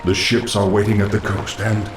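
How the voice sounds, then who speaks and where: A man's voice: lowish voice, level tone, normal speed, very noisy, natural voice. An actor playing a loyal undead lich in a fantasy game, solemn and deferential toward his master. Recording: echoing voice over faint music.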